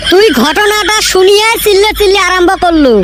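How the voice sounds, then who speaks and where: A woman's voice: extremely high pitch, brisk, background noise, pitched below the performer's own voice. Woman putting on a blunt mocking cartoon son. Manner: blunt, teasing. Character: grown son, blunt, mocking his parents. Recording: loud music bed under voice.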